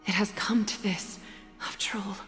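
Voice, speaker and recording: A woman's voice: medium pitch, steady tone, normal speed, whispered, close to an everyday voice. A woman playing a regal, composed leader in a game dub, grave, distant, stately and formal. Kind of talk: English game dub voice acting. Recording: long reverb, background music.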